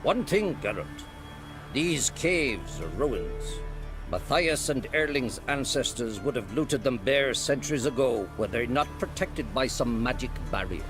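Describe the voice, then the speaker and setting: A man's voice: mid-range voice, animated, a little slow, music in the background, natural voice. A man voicing a learned, grumpy old druid in a video game, wry and sententious in manner. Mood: cautioning.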